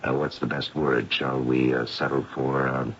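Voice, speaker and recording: An actor playing a courtly, learned psychic investigator in a 1970s radio drama, gravelly, deliberate and politely hesitant. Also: male voice, very deep voice, flat delivery, a bit bright, a little hiss or hum. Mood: measured, wry. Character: courtly, learned male psychic investigator, measured and open-minded. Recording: muffled vintage radio drama recording.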